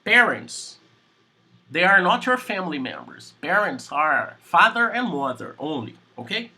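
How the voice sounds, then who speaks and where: A Brazilian man with a Portuguese accent teaching English on a video call, speaking in slow, simple sentences and repeating words. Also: a little high, sing-song, bright, forceful tone, breathy edge. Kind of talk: online English class conversation.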